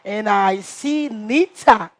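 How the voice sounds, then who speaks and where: A woman's voice: medium pitch, slow pace, lightly acted. Woman playing a dramatic reality-show contestant. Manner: animated storytelling, acts out quotes.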